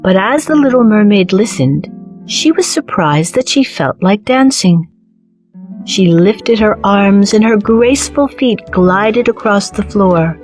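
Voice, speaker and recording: A woman narrates a children's fairy tale at a slow, measured pace. Her pitch is medium and soft music runs behind her. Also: lively intonation. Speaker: storyteller. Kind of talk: scripted children's story narration.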